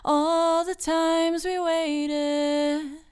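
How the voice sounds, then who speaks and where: Female singer-songwriter, very high sung vocal, acoustic guitar clearly underneath, studio mic. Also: flat delivery, very slow, darkish tone. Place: recording studio. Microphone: studio microphone.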